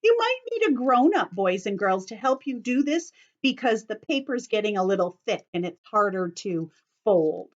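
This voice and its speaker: A woman's voice: mid-range voice, sing-song, normal speed, dull audio. A woman giving young children a step-by-step craft lesson, explaining patiently in simple words with a sing-song delivery and frequent check-in questions, recorded on a computer microphone. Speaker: children's teacher hosting an online story time. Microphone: computer's built-in camera and microphone.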